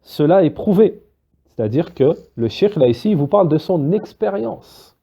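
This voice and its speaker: A man teaching a spoken religious lesson, lively and full of fillers, acting out little dialogues between students. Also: on the high side, normal speed, gravelly, husky. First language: Arabic-Islamic terms mixed into French. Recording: dull lo-fi recording.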